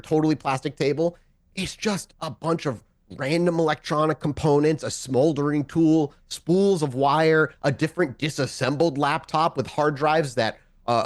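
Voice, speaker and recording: A man improvising narration as game master of a tabletop game, talking in a casual, conversational, sometimes profane way. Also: fairly high pitch.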